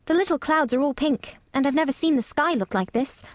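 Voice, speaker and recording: Woman as a very high-pitched, frank little girl. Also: brisk, dry recording, clean studio sound, lightly acted. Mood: eager, delighted. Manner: blunt, wondering, emphatic. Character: frank, inquisitive, eager young girl. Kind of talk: audiobook character dialogue.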